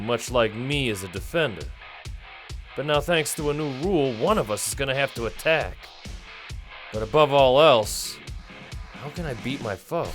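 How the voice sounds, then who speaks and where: A man's voice: medium pitch, lightly acted. A man playing an earnest young fighter, determined yet unsure, with dramatic delivery in a visual novel drama.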